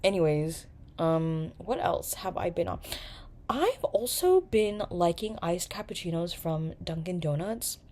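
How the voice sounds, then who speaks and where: A woman's voice: fairly low pitch, a little slow. A young woman from New York hosting a casual, chatty vlog, swearing and joking as she talks. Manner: chatty, animated, swears and jokes. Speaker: vlogger, podcast host. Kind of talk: casual chat to camera.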